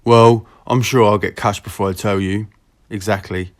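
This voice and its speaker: A man's voice: lowish voice, fairly even intonation, moderate pace. A man with a southeast England accent chatting casually in slangy, sweary language on a recorded telephone call. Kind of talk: casual phone chat.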